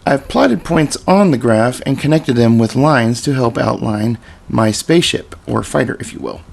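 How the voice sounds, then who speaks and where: Guy walking through retro coding stuff, kinda raspy, lots of vocal fry, laid back. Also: mid-range voice, normal speed. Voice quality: slightly raspy voice. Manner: relaxed explanatory walkthrough with casual asides. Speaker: software tutorial presenter. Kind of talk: screen-recorded programming tutorial.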